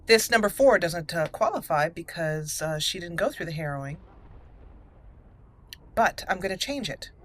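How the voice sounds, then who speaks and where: A woman doing casual roleplay game commentary as a channel host, thinking aloud deliberately and reading out menu items. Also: lowish voice, expressive. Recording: noticeable background noise and game ambience.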